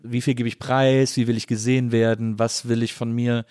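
A man's voice: medium pitch, little pitch movement, normal speed, a little mellow, smooth, dry recording, clean studio sound. A man hosting a casual podcast interview, talking in a reflective, somewhat tentative way. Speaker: podcast host.

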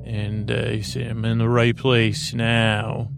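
A man hosting a sleep podcast in a creaky, soothing voice, rambling gently and haltingly with lots of filler words and tangents. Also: medium pitch, monotone, over music. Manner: lulling, gentle, reassuring. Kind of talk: rambling soothing bedtime monologue.

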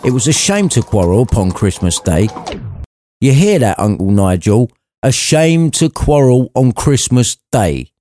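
A man's voice: medium pitch, sing-song, a little slow, warm tone, dry recording. A man reading an irreverent storybook narration, cheeky and conversational. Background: background music.

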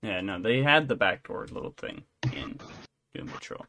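A man's voice: on the low side, sing-song, normal speed, vocal fry, pushed and bright, dry recording. A man co-hosting a podcast, chatting casually about entertainment news in an irreverent, joking, sometimes profane way. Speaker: podcast co-host.

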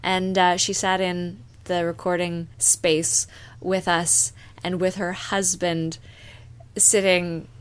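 A woman's voice: fairly low pitch, level tone, slow pace, clear, resonant tone. A woman co-hosting a casual podcast, talking in a hesitant way with many ums and restarts. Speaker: podcast co-host.

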